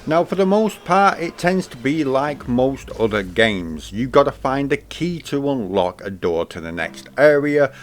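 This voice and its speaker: A man narrating a scripted video game review. He opens each phrase high and lets it drop at the end. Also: unhurried, few pauses, a little hiss or hum. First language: English. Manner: lively delivery, pitch rising at the start of each phrase and dropping at the end.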